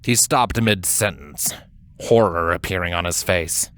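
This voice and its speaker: A man's voice: sits low, expressive, unhurried, fairly bright tone, clean tone. A man reading scripted fan fiction as a storybook narrator. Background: background music.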